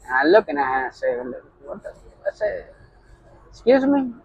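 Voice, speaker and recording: Man, Puerto Rican accent, middle-aged trucker, slightly high voice, heated vlog rant, keeps saying "you know". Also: sing-song, moderate pace, gravelly, breathy edge, lo-fi sound. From New York. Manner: heated storytelling, constant "you know" fillers. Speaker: owner-operator truck driver.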